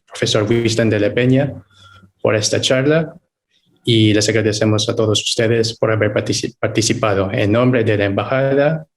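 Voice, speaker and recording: A man with a fairly low voice moderates a formal online event. He reads out comments and closing remarks politely, often hesitating as he goes. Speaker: webinar moderator from an embassy.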